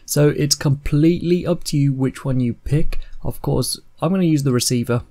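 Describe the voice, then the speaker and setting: Young man giving a casual software tutorial. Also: mid-range voice, moderate pace. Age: 20s. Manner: informal, step-by-step explaining. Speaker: tutorial host.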